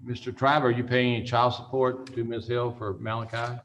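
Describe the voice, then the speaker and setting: A male judge presiding over a hearing on a video call, measured and procedural, with "uh" hesitations. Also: mid-range voice, little pitch movement, fairly bright tone, slight background noise. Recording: dull lo-fi call audio.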